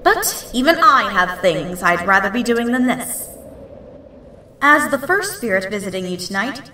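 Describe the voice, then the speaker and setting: A woman's voice: medium pitch, big pitch swings, unhurried, pushed and bright, reverberant, far from the mic, slight background noise. A woman voicing a bored, flippant female ghost pony in a comic dub, offhand and sardonic.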